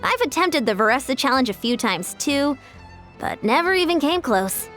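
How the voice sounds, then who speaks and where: A young coach for a video game. She is assured and encouraging, with a high, animated voice and faint music underneath. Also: normal speed.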